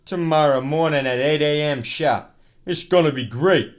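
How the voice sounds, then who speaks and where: A man's voice: on the high side, moderate pace, lightly acted. A man playing a blunt, casual TV show staffer in a radio drama, informal and matter-of-fact. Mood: upbeat. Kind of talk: high school radio drama acting.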